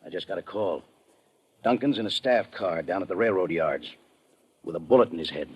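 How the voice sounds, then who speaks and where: A man's voice: deep voice, a little fast, natural voice. An American man acting as a businesslike, assured army lieutenant in a 1950s radio detective drama. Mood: brisk, grim. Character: matter-of-fact male American army police lieutenant. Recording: muffled, noisy old radio recording.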